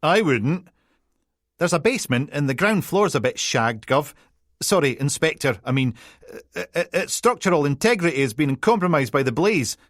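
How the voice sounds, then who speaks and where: A man voicing a brusque young female police constable with a Peterhead Scottish accent, loud and flustered, stumbling over titles. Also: low-pitched, animated, normal speed, no room sound, studio quality, voice pushed up.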